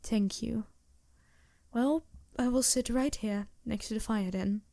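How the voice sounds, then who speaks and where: A woman's voice: fairly high pitch, slow pace, creaky, warm tone, dry recording, clean studio sound. A woman playing a polite, anxious vampire posing as a traveling merchant in a fantasy audio roleplay, speaking hesitantly with small stammers and courteous apologies. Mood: grateful, polite. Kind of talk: fantasy roleplay audio drama, addressing the listener.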